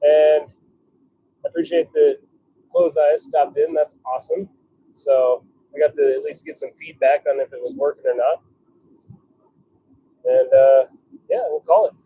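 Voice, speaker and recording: A man chatting casually on a live stream, thinking out loud as he works in his workshop. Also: a little high, unhurried, pauses often, breathy edge, poor audio. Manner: chatty, thinking out loud while working at the forge. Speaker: livestream host, hobby blacksmith. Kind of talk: casual live-stream chat while working. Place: home forge workshop.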